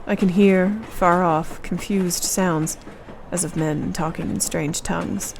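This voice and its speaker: A woman's voice: fairly low pitch, fairly even intonation, slow, creaky, background noise. A woman in a radio play acting a brave, clever young Victorian wife, speaking earnestly with formal period diction. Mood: entranced.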